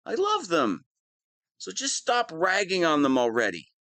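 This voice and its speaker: A man's voice: a little high, huge pitch swings, measured pace, sharp tone, no room sound, studio quality, full character voice. A man playing a theatrical wannabe supervillain in an audio roleplay, pompous and smug with a petulant, whiny streak. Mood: indignant, whiny. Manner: pompous monologuing that slips into whining. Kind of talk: scripted audio roleplay monologue.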